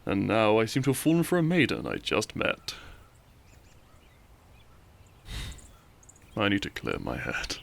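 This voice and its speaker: A man playing a lovestruck, cheeky young bandit outlaw in an intimate romantic audio roleplay, soft and conversational, with a self-deprecating streak. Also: on the low side, wildly swooping pitch, a little fast, creaky, exaggerated voice. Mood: wistful, downcast. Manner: soft, conversational, with sighs and chuckles.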